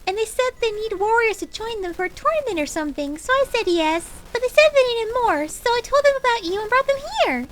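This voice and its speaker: A woman's voice: very high-pitched, expressive, brisk, hardly any pauses, a bit bright, voice pushed up. A woman voicing a chirpy, naive young female shepherd warrior who rambles on in a fan fiction story. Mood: eager, rambling. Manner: chirpy, rambling run-on delivery.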